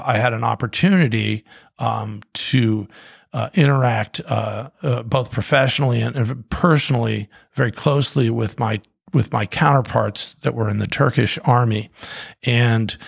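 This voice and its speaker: A middle-aged man with a heavily gravelly, husky voice tells his story unhurriedly, circling back with restarts and fillers. The sound is close and dry, with no room around it. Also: medium pitch, dry recording. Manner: rambling, many restarts and fillers. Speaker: guest, coffee company co-founder. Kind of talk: casual storytelling.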